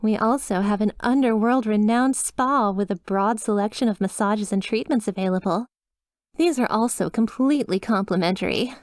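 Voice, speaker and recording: A woman's voice: fairly high pitch, a little mellow, no echo, clean studio sound, close to an everyday voice. A woman voicing a chipper, sweetly reassuring demon resort receptionist with a polished, over-polite customer service voice in a scripted ASMR roleplay. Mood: delighted, eager.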